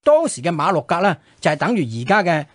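A man's voice: on the high side, big pitch swings, fast talker, no echo, clean studio sound. A man with a Hong Kong Cantonese accent hosting radio, telling history in a conversational way full of colloquial sentence-ending particles. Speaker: radio host. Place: radio studio.

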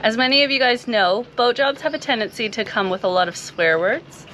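A woman's voice: on the high side, a little fast, fairly bright tone. A woman, perhaps in her thirties, talking casually to camera as a sailing vlogger. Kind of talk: casual vlog talk to camera. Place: small sailboat cabin. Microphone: camera at arm's length. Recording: faint ambient noise in boat cabin.

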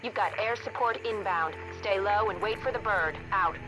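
A businesslike female military radio dispatcher in a video game. Her delivery is clipped and procedural, at a medium pitch. Music plays clearly behind the radio voice. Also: moderate pace, rough recording.

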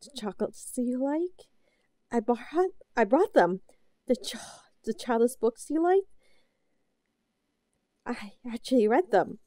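A woman playing an obsessive, possessive, lovesick captor in an audio roleplay, speaking haltingly and pleadingly with an unhinged edge. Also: a little high, sing-song, no room sound. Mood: obsessive, insistent. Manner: halting, pleading, swinging between soft cooing and outbursts. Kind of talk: scripted audio roleplay, intimate and melodramatic.

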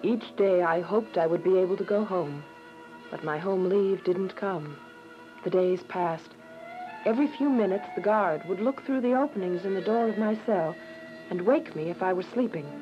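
A woman's voice: lowish voice, normal speed, close to an everyday voice. Actress as earnest young woman prisoner, muffled recording. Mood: solemn. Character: Alice, earnest devout young woman imprisoned for her faith. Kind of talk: religious radio drama acting with first-person narration. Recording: dull lo-fi radio drama with music.

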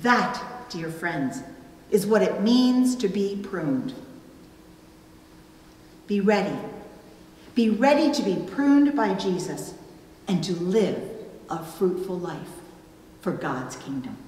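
A middle-aged woman preaching a sermon from a pulpit, with deliberate, emphatic phrasing and pauses. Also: mid-range voice, big pitch swings, a little mellow. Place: indoors at a church pulpit. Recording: roomy, reverberant church, distant, faint noise.

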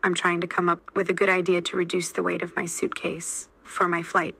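Husky, flat synthetic woman voice. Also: fairly low pitch, fairly quick, dry recording. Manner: steady, plain repetition of model sentences. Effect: AI text-to-speech voice. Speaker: language lesson reader. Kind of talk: scripted sentence drills.

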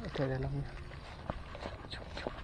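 A man's voice: medium pitch, a little slow, noisy background. A young man with a Malaysian Malay accent, talking casually to camera while fishing by a plantation canal, muttering to himself and perking up when a fish bites. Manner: muttering to himself while casting, bursts of excitement on strikes. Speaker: fishing vlogger. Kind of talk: casual fishing vlog commentary. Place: outdoors by a canal in an oil palm plantation. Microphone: action camera worn on the body, then held at arm's length.

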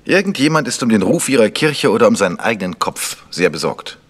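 Tiefer, lebhaft betonender Detektiv im Hörspiel. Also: male voice, on the low side, big pitch swings, brisk, in a large hall. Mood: insistent. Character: probing, self-assured male amateur detective. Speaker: amateur detective. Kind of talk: vintage German radio crime drama acting.

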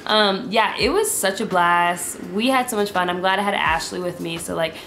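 A woman's voice: on the low side, moderate pace, fairly bright tone, reverberant, a little hiss or hum, rough recording. A young American woman chatting casually for a vlog in a living room, picked up by a camera a short way off. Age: young adult. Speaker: vlogger. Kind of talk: casual chat.

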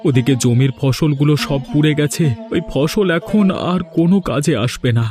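A man performs a poor village farmer in a cartoon. His voice sits fairly high and carries a lamenting, despairing tone. Light music plays behind his words. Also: normal speed, darkish tone, natural voice. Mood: weary, gloomy. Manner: plaintive, lamenting. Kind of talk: Bengali cartoon voice acting.

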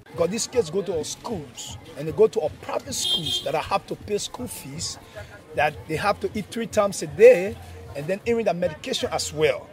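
A man's voice: high voice, very animated, normal speed, rough recording. A man in his forties with a Sierra Leonean accent answering interview questions outdoors as an orphanage director.

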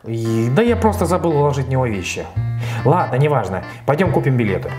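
A man voicing a forgetful, flustered father figure in a toy story, excitable and exclaiming. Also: mid-range voice, fast, music in the background, voice pushed down, natural voice.

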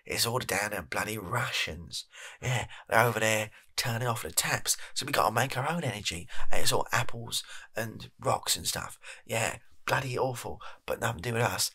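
A man acts out a shifty British chief executive in a comedy sketch. He is flustered and halting, with a husky, gravelly voice that has a forceful edge. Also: on the low side, pitched above the performer's own voice, full character voice. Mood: bumbling, evasive. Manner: bumbling, evasive, halting, put-upon. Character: flustered, shifty male energy company CEO recording an address. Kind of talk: improvised softly spoken comedy sketch.

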